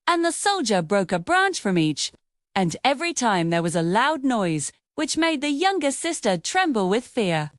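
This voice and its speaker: High, animated woman storyteller. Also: moderate pace, no echo, studio quality. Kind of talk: scripted fairy-tale narration.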